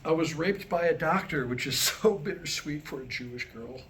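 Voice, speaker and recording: A man's voice: a little high, normal speed, a bit bright. A middle-aged man delivering a comedic monologue and telling stories before a live audience at a staged reading. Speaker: playwright performing a staged reading. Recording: live room recording with background noise.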